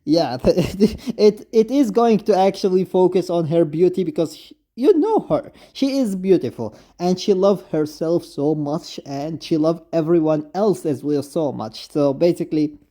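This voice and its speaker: A man who is not a native English speaker gives unscripted, gushing video game fan commentary, halting and often repeating himself. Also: a little high, lively intonation, dark tone. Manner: effusive, halting, repetitive. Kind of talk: unscripted fan commentary, reading lines aloud.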